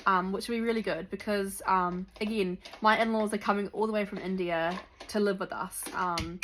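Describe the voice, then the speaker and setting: A woman's voice: medium pitch, noisy background. A young woman from New Zealand with a Kiwi accent, chatting casually to camera with lots of ums and likes. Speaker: vlogger. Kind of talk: sit-down chat to camera.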